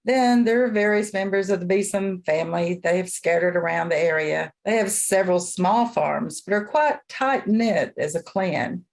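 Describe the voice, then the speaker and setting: A woman's voice: fairly low pitch, vocal fry. A woman playing an elderly small-town local in a radio play, telling old lore in a folksy, matter-of-fact way. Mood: matter-of-fact, gossipy. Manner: folksy, unhurried storytelling.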